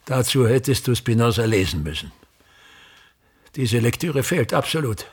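An actor in a radio play voicing a pompous, obsessive theater man who declaims pedantically and repeats his phrases, with a gravelly, husky voice. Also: mid-range voice, big pitch swings, moderate pace. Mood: exasperated.

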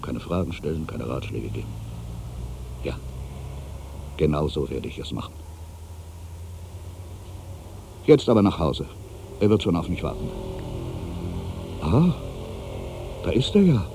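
A man's voice: deep voice, vocal fry, background noise, exaggerated voice. A man playing a bitter, anxious ageing writer who thinks aloud in a theatrical radio-play monologue, brooding and volatile. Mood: anxious, resolving, then nervous, watchful. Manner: brooding, theatrical, from hushed muttering to agitated outbursts. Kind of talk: dramatic first-person monologue, radio play.

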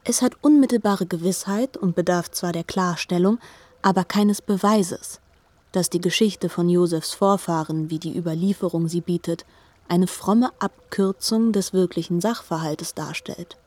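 A woman playing a learned, reflective narrator in a literary radio drama, measured and articulate. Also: fairly low pitch, a little mellow, no room sound, close to an everyday voice. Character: learned, reflective female narrator of a biblical epic.

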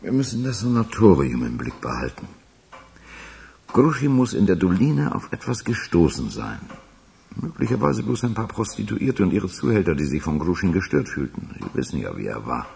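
A man in a German radio crime drama playing a sceptical, gruff police official in a crime boss's service, with a gravelly voice. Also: deep voice, sing-song, normal speed, darkish tone, exaggerated voice. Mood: sceptical, soothing.

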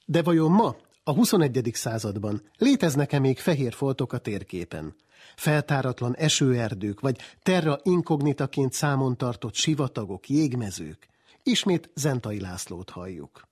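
A man's voice: medium pitch, big pitch swings, darkish tone, breathy edge. A man hosting a radio programme, delivering scripted narration and questions to guests. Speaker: radio host. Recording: music under the voice. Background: music.

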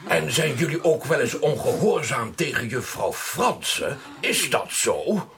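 Busy party bustle surrounds a jolly Saint Nicholas. The delivery is slow and swoops widely, mock-solemn and pompous, gently admonishing in radio-drama style. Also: female voice, extremely low pitch, big pitch swings, crowd noise, heavily acted. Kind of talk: Dutch radio drama acting. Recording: 1980s radio play, crowded party bustle.

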